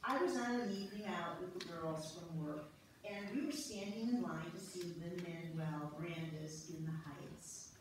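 A woman's voice: on the low side, moderate pace, natural voice. A woman playing a frank, wry wife telling her story in an amateur reader's theater, recorded far away in a church. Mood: wry, nostalgic. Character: frank wife Joanna, former Manhattan finance woman. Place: on stage in a church, far camera. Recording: very echoey hall, distant mic, noisy.

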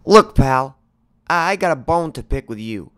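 Man as a blunt, lively butcher lady. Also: mid-range voice, normal speed, smooth, lightly acted. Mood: gruff, grudging. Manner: blunt, brusque. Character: gruff, blunt woman butcher. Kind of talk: storybook character read-aloud.

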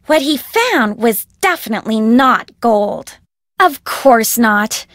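An actress playing a gossipy, excitable, girlish young woman in a video game, speaking dramatically. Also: fairly high pitch, slow pace, no room sound, clean studio sound. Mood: exasperated, gossipy. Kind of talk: video game character acting.

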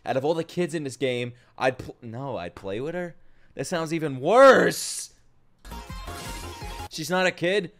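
A man doing casual live-stream reaction commentary to game trailers, excitable, slangy and profane. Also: on the high side, unhurried, pauses often. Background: game trailer music.

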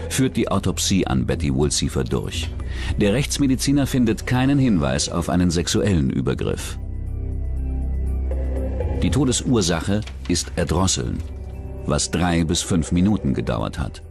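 Deep-voiced male true-crime narrator. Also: sing-song, normal speed, vocal fry, a little mellow. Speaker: documentary narrator. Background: background music.

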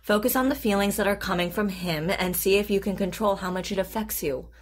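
An actress playing a calm, patient therapist in an audio drama, composed and deliberate in her delivery. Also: mid-range voice, lightly acted. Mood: calm, persuasive. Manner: composed, deliberate, clinical, reassuring. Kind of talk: naturalistic audio drama acting.

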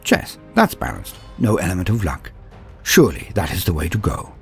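Male narrator for tabletop wargame lore: lowish, expressive and swooping, theatrical in phrasing, husky, music underneath. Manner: theatrical, florid phrasing with drawn-out dramatic emphasis. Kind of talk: scripted narration, video essay. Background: background music.

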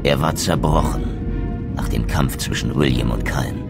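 Game narrator: noble vampire wraith, extremely low voice, brooding, solemn and measured, music underneath. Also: male voice, creaky, exaggerated voice. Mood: grave awe. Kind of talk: scripted dramatic game narration.

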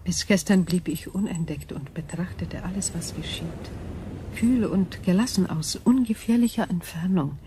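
An ancient crystal being from space acted by a woman speaks in a soft-edged, slightly low female voice. She is cool and detached, phrasing everything with formal precision. It is radio drama, with faint ambient noise behind. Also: moderate pace, lightly acted. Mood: detached, wary.

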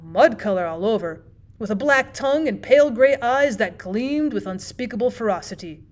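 A woman's voice: a little high, expressive, normal speed, close to an everyday voice. A woman reading the part of an unflappable bachelor storyteller, matter-of-fact and prompt in a storybook.

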